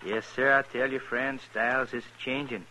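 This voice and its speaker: An actor playing a chatty, excitable small-town Western barber in a radio drama. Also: male voice, medium pitch, normal speed. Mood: chatty, obliging. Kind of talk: 1950s radio western acting. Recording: noisy old radio recording.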